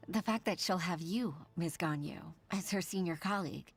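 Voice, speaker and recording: A woman's voice: lowish voice, unhurried, soft background music. An actress voicing a humble, self-doubting middle-aged secretary and mother who speaks hesitantly, often half-whispered. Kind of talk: video game voice acting.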